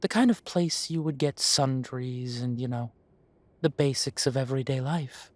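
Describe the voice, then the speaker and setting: A man playing a genial, long-lived elven café owner who reminisces in an unhurried, chatty way, in a roleplay audio drama. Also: mid-range voice, darkish tone, no room sound, lightly acted. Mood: genial, reminiscing. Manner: unhurried, musing, conversational with pauses.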